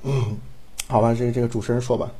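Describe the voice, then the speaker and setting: A man speaking off the cuff in casual chat, halting, offhand and often repeating himself. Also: mid-range voice, steady tone, dark tone, husky. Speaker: audio drama director.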